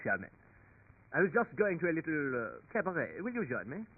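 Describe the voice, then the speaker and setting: An actor playing a cheerful, sociable young French military cadet with a French accent, in 1930s radio acting style. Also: male voice, on the high side, sing-song, fairly quick, husky. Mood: friendly. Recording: tinny, band-limited 1930s radio recording.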